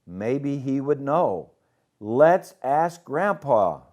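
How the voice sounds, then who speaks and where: A man's voice: medium pitch, sing-song, extremely slow, lo-fi sound, pitched above the performer's own voice. A man voicing eager young friends in a children's storybook, with simple, earnest delivery.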